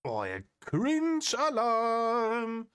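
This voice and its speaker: A man's voice: very high voice, wildly swooping pitch, very slow, a bit bright, smooth. Sarcastic man commenting on clips. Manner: exasperated, sarcastic, swears freely. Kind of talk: satirical reaction commentary.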